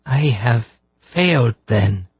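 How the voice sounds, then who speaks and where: A man's voice: medium pitch, normal speed, darkish tone, breathy edge, no room sound, pitched above the performer's own voice. A man narrating an audiobook voices an earnest, deferential young woman healer who speaks in an anxious, pleading way. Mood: distressed.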